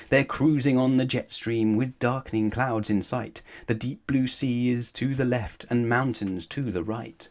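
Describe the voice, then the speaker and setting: A man's voice: mid-range voice, a little mellow. Male storybook reader for rhyming picture-book narration aimed at young children. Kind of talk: rhyming storybook read-aloud.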